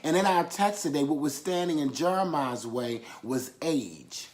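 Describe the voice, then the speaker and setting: A man's voice: fairly high pitch, lively intonation, moderate pace, a little mellow. A man preaching a sermon, repeating key phrases for emphasis and calling on listeners to affirm after him. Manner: emphatic repetition with call-and-response affirmations.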